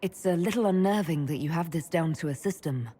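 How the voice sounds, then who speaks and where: A woman voicing a calm, self-assured elf mage leader in a video game, speaking in a measured way. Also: on the low side, husky. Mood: flirtatious. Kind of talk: video game dialogue acting.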